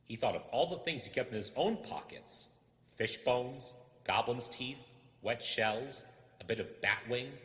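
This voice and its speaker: A man reading a story aloud as dramatic narration, expressive and suspenseful. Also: on the low side. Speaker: amateur audiobook reader. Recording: echoey hall-like reverb.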